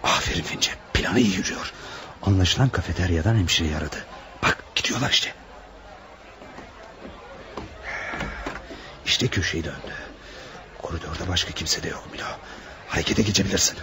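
A man's voice: deep voice, fairly quick, noisy background. An actor playing a nervous male criminal lookout who mutters tensely in a radio play. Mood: eager. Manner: tense, muttering commentary on what he watches. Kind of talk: Turkish radio play acting.

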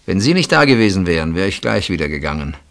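Radio play: regretful, hesitant husband, deep register, intimate and halting. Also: male voice, very animated, creaky, a bit bright, full character voice. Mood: amused, reminiscing. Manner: hesitant, halting, reflective, repeats words. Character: wistful married gentleman named Pierre, hesitant and regretful.

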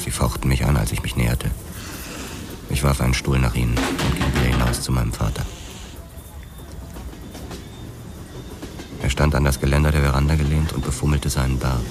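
A man's voice: a bass voice, fairly even intonation, fast talker. An actor playing a weary, hard-boiled policeman who narrates his own past in a crime radio drama. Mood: grim, restrained. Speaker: first-person narrator. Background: music.

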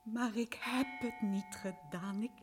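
A woman's voice: mid-range voice, over music, lightly acted. A woman playing a distraught young prisoner. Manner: halting, pleading, stammering. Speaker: accused prisoner. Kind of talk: radio play acting.

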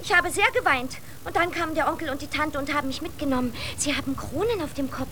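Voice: high voice, quick pace, background noise, natural voice. A female performer playing a plaintive, earnest little princess girl in a German children's radio drama.

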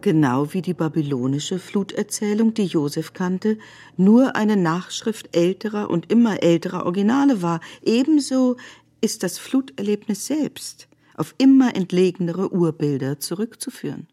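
A woman reading literary narration for radio in a deliberate, articulate way. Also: medium pitch, expressive, mellow.

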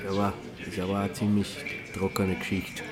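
An actor playing a sheepish, awkward man with an Austrian accent in a radio play, speaking haltingly and colloquially. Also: low voice, monotone, a crowd in the background, close to an everyday voice. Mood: reserved, sheepish. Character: sheepish, awkward, hesitant male newcomer. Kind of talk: German radio play, casual dialogue.

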